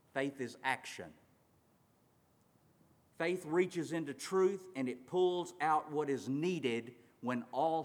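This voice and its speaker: A man preaching a sermon, swinging between loud urgent passages and quiet slow ones, drawing out words for emphasis. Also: medium pitch. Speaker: pastor. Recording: long reverb of a large hall.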